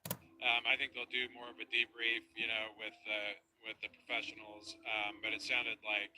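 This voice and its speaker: A man's voice: lowish voice, flat delivery, moderate pace, bright, forceful tone, rough recording. A man, a sheriff, answering a news interview hesitantly, with frequent ums and you-knows. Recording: interview clip with crowd noise.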